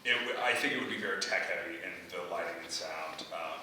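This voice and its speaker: A man's voice: low voice, a little fast, bright, forceful tone. A man thinking aloud as a playwright in an unscripted discussion, hesitant and often rewording as he answers feedback. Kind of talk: unscripted post-reading discussion. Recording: echoey room, distant mic, background noise.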